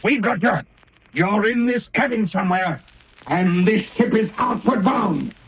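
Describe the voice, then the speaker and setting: An actor playing a gruff smuggler ship captain in a 1930s radio drama. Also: male voice, high-pitched, unhurried, fairly bright tone. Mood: blustering. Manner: gruff, blustering. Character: hard-bitten male smuggler ship captain. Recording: faint hiss of old radio broadcast.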